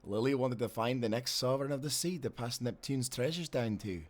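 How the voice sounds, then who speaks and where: An actor playing a devoted, seasoned old sailor in an audio drama, speaking as an earnest storyteller with old seafaring turns of phrase. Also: male voice, medium pitch, a little fast, close to an everyday voice. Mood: nostalgic. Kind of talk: cinematic audio drama acting.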